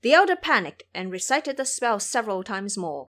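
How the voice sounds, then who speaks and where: A woman reads as the narrator of an audio drama. She tells a scripted fantasy story in a storyteller's style, with a medium-pitched voice. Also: sing-song, moderate pace, fairly bright tone.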